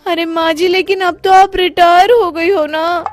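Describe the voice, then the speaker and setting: A woman voicing a lazy, cheeky young daughter-in-law who complains and exclaims in an animated story. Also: extremely high pitch, unhurried, over music, voice pushed up. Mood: whiny protest. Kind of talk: animated moral story voice acting.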